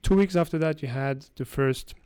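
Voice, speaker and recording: A man from France, a guest analyst giving explanatory interview answers, halting with frequent restarts. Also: on the high side, a little mellow, clean tone, dry recording.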